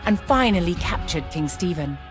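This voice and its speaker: A woman narrates in a slightly low register. Her delivery is measured and formal, in the manner of a scripted historical documentary for a game campaign. Music plays clearly behind her voice. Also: expressive.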